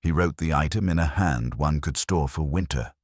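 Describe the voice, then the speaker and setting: A man narrating a literary mystery story in a measured, wry, formal manner. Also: extremely low pitch, husky, no room sound, studio quality.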